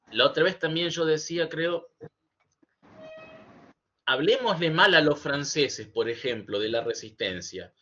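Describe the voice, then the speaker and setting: An Argentine man with a bright, forceful voice and very lively intonation. He moderates an academic lecture, thinking aloud with emphatic, deliberate phrasing. The sound is somewhat lo-fi. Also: mid-range voice. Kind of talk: reflective academic commentary. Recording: dull, lo-fi online audio.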